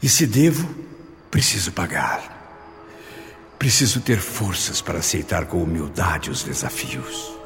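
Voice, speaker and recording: A man's voice: sits low, very animated, measured pace, music in the background, full character voice. An actor playing a humble, devout, frightened father at prayer in a radio drama, earnest, solemn and pleading, with long reverb. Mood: anxious pleading. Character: fearful, humble, devout father praying for strength. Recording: long reverb, as inner thoughts.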